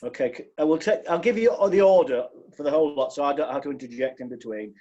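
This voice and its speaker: A man with a Yorkshire accent chairing a hustings, polite and brisk as he keeps things moving. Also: on the high side, expressive, warm tone, highs cut off. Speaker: moderator.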